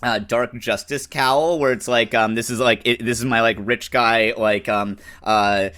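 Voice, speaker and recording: Rambling man with a bright voice. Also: mid-range voice, fairly even intonation, unhurried, clear, resonant tone. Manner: rambling, hesitant. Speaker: player.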